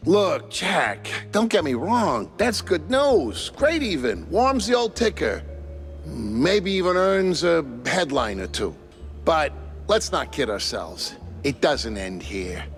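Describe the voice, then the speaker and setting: A jaded little shrew bartender in a cartoon, his highish voice swinging up and down. He speaks in a slow, folksy grumble, sardonic but kind-hearted. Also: sing-song, a bit bright, soft background music, exaggerated voice. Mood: weary, cynical. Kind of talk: animated noir dialogue.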